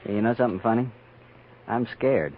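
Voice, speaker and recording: A man's voice: medium pitch, lively intonation, a little fast, lightly acted. Hungover American frontier man played by an actor, muffled radio. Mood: nervous. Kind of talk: 1950s western radio acting. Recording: noisy, band-limited old radio recording.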